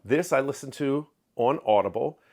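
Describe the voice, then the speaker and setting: A middle-aged man giving a candid, opinionated book review in a home study, talking close to the camera with lively hand gestures. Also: medium pitch, moderate pace. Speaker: book vlogger. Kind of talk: casual reading wrap-up review. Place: home study with shelves of collectibles. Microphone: webcam framing, close to camera.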